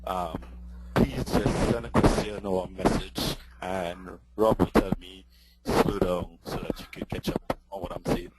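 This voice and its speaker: A man from Trinidad with a Trinidadian accent, giving a technical webinar presentation in a quick, excitable way with many fillers. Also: deep voice, very noisy. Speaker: presenter and database lecturer.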